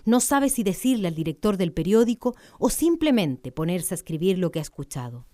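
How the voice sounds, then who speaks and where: A woman's voice: lowish voice, big pitch swings, a little fast, mellow, dry recording, clean studio sound. A woman narrating a radio drama in a literary, scripted style. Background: plucked guitar music.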